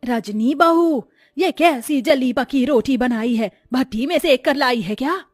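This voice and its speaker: A woman voicing a sharp-tongued middle-aged mother-in-law in a cartoon story, scornful and commanding. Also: sits high, unhurried, dry recording, studio quality, soft background music.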